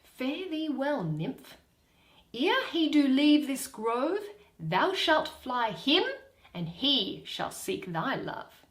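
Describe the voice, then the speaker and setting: A woman plays a commanding fairy king in a verse play, stately and lyrical. The words come slowly with a wide, musical rise and fall, in a roomy space. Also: high-pitched, very animated, voice pushed up, full character voice. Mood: resolute. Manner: lyrical, measured. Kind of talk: dramatic Shakespeare verse reading.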